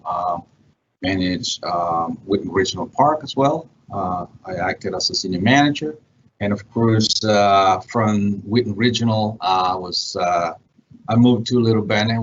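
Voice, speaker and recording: A middle-aged man from El Salvador, a parks manager on a virtual panel, speaking haltingly with many fillers. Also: low voice, slight background noise. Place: home or office, remote call. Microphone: video call. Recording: muffled video-call audio.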